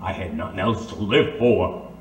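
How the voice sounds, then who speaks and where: An actor playing a disfigured, vengeful crime boss, bitter and unhinged, in a theatrical detective radio drama. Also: male voice, sits low, moderate pace, close to an everyday voice. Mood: bitter, menacing. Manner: menacing, theatrical, swinging from shouts to whispers. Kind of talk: old-time radio detective drama acting. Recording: echoey room, faint background noise.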